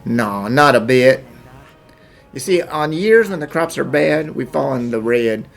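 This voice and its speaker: A man's voice: mid-range voice, animated, a little slow, gravelly. A man playing a humble, anxious wheat farmer with a rural country accent in a game fan dub. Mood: earnest. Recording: music behind the voice.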